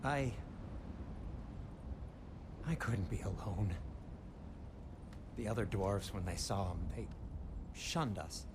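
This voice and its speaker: A man's voice: lowish voice, animated, takes it slowly, pauses often, noisy background. An actor playing an anxious but kindly, fussy male dwarf in a video game cutscene. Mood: guilty, confessional.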